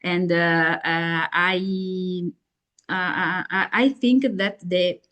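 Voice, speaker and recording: Slow, halting woman interviewer. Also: on the low side, fairly even intonation, a bit bright. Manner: halting, searching for words in non-fluent speech. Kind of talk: interview questions.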